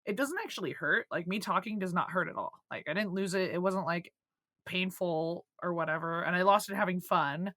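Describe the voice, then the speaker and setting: A hoarse, croaky woman co-hosting a casual podcast, swearing and joking loosely. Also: fairly low pitch, a little fast, fairly bright tone, dry recording, studio quality. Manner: swearing, joking, laughing often. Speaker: podcast co-host.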